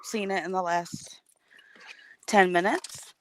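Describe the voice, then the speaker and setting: A woman calling in from her car, hoarse from a sleepless night, giving updates with many fillers. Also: on the low side, fairly even intonation, slow, fairly bright tone, a little hiss or hum, poor audio. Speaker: guest caller. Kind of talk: casual chat, giving updates.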